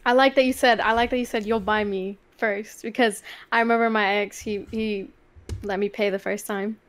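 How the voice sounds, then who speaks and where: A woman's voice: medium pitch, fairly even intonation, moderate pace. A nineteen-year-old woman from Southern California, a streamer chatting on a video call, friendly and giggly. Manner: friendly, giggly, uses like often. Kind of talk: casual dating chat. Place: bedroom streaming setup. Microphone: studio mic on boom arm.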